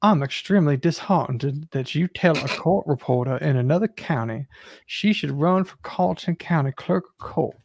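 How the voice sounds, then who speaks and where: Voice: mid-range voice, normal speed, creaky, slightly rough, no echo. A reader with a dry comic delivery, moving between casual banter and dramatic email reading.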